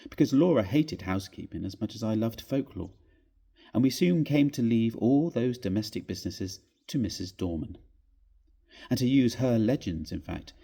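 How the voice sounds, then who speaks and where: A man with a husky voice reading a story aloud in a measured, expressive, theatrical way. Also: fairly low pitch. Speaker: reader of a classic ghost story.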